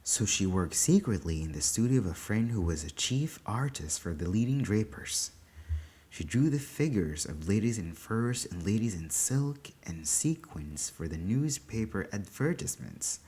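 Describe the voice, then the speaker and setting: A narrator reading a literary story aloud in a deliberate, dramatic way, with pauses between phrases. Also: low-pitched, very animated, a little mellow, breathy edge. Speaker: story reader.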